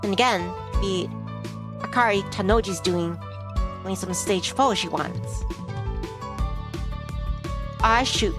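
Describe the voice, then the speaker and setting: A woman's voice: medium pitch, slow pace, long pauses. A woman streamer reading a visual novel aloud, with a dramatic read-aloud delivery. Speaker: VTuber game streamer. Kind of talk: reading visual novel dialogue and narration aloud, voicing characters. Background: game music.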